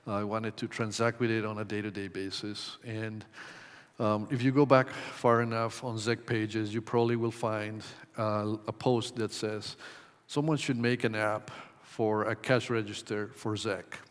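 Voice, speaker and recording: A man from Ecuador giving a conference talk in a measured way, with many ums and uhs. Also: fairly low pitch, little pitch movement, smooth. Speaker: conference presenter. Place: conference hall. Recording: long hall reverb.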